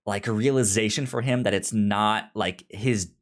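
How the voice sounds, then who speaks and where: A podcast co-host chatting casually about a show, haltingly, often repeating starts while searching for words. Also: medium pitch. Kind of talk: casual chat recapping a TV show.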